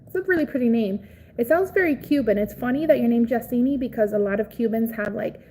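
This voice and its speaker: A young woman from Cuba, raised in Florida, chatting casually on a live stream, greeting viewers by name and reacting excitedly. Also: fairly high pitch, quick pace, a little hiss or hum. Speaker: livestream host.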